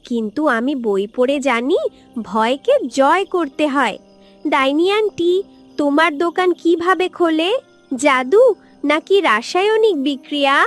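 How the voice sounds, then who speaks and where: A woman's voice: sits high, lively intonation, measured pace, soft background music. A woman voicing a timid, bookish, clever little girl in a children's cartoon, anxious and earnest. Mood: earnest, curious.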